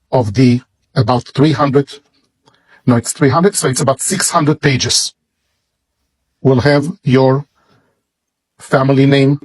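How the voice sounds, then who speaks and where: A man with a Hebrew accent, a native Hebrew speaker, slowly and reverently showing off a bible as a product. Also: mid-range voice, darkish tone, breathy edge, low-quality recording. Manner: slow, deliberate, reverent. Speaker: seller presenting a bible. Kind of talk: product demonstration.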